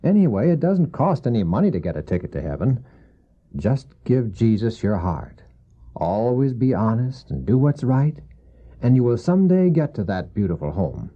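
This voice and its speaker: An actor playing a gentle, weary widowed father in a radio drama, speaking tenderly and haltingly to his young son. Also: medium pitch, very animated. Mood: warm, explaining. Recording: dull old cassette audio.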